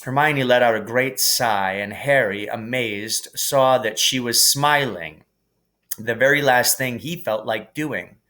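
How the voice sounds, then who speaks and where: A man gives a storybook narration, reading aloud as a teacher would. His pitch sits in the middle range and his overall pace is slow. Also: pushed and bright.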